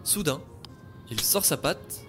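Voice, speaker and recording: A man's voice: fairly high pitch, lively intonation, slow pace. Man narrating a dramatic story. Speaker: podcast creator and narrator. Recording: music playing behind.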